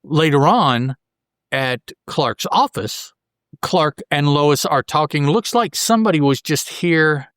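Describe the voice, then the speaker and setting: A man's voice: on the high side, animated, slow, a bit bright, dry recording, clean studio sound. A man hosting a podcast, recapping a comic book in a casual, colloquial way with joking asides. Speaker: podcast host.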